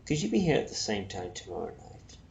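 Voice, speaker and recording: A man reading a storybook plays a mild, thrifty customer who is tempted by any bargain. He sounds hesitant yet keen, with some creak in the voice and a roomy echo around it. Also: lowish voice, huge pitch swings, fairly quick, a little mellow, highs cut off, heavily acted. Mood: pondering. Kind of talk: storybook character voice. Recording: roomy echo, faint noise.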